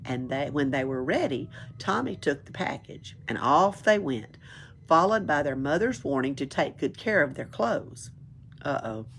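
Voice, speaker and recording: A low, gravelly woman's voice reads a children's book over noticeable background noise. The storytelling is expressive, suited to young listeners. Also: a little slow. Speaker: library storyteller. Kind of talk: reading a children's book aloud.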